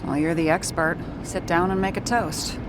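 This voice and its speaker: Lady playing a guarded slum girl in a noir game, lowish dry voice, loud city noise behind her. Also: steady tone, normal speed, lightly acted. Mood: dry, composed. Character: tough, guarded slum-born young woman, vengeful liaison. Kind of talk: noir video game voice acting. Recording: loud city and vehicle ambience.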